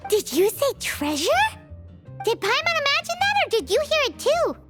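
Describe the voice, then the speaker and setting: Very high squeaky little fairy companion. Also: female voice, wildly swooping pitch, moderate pace, over music, exaggerated voice. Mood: excited. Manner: exclamatory, refers to herself in third person. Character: tiny excitable childlike floating fairy companion. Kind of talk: video game voice acting.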